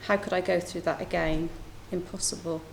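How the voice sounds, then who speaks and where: A middle-aged woman reads a prepared statement as a bereaved mother at a press briefing. Her voice sits fairly low, and there is some room noise in the recording. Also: steady tone, brisk, creaky. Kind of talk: reading a prepared personal statement at a media briefing.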